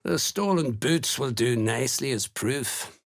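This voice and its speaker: Menacing dwarf soldier, gravelly, slow, blunt, rustic phrasing, fantasy game villain. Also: male voice, medium pitch, expressive. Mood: blunt, scornful. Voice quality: gruff, raspy. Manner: blunt, threatening, folksy rustic turns of speech. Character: menacing, gruff Duergar slave hunter, rough soldier. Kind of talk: video game character dialogue.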